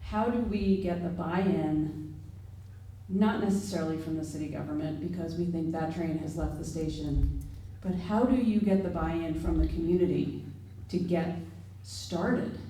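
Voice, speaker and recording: A woman's voice: sits low, unhurried, mellow, a little hiss or hum. A woman in the audience asking an earnest, pressing question about community trust. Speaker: audience member. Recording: very echoey hall from far off.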